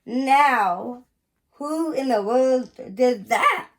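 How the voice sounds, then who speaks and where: A woman's voice: high-pitched, lively intonation, drawn-out words, exaggerated voice. A woman voicing a puzzled male night watchman in a children's story, exclaiming and questioning. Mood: startled, suspicious.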